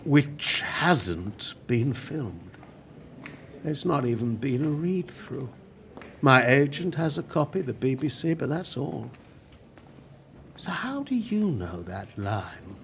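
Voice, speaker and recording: A man's voice: mid-range voice, sing-song, creaky, a bit bright, a little hiss or hum, full character voice. An actor playing a dying elderly male TV writer in a radio play, bitter and guarded, speaking through pain. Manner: bitter, guarded, slow and deliberate.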